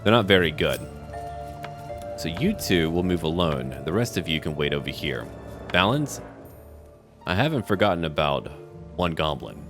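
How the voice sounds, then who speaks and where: A man with a deep voice talks through his game, thinking aloud and calling to his party in a chatty, relaxed way. His pitch swings lively, and soft music plays underneath. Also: moderate pace, pauses often. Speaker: gamer playing a role-playing game. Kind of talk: casual let's-play commentary.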